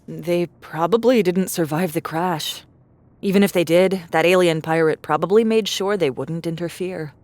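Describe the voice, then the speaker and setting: An actress playing a bold, wry young woman explorer in an audiobook, with a brisk, commanding manner. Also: lowish voice, a little mellow, no echo, close to an everyday voice. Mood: matter-of-fact, confident. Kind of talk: audiobook character acting.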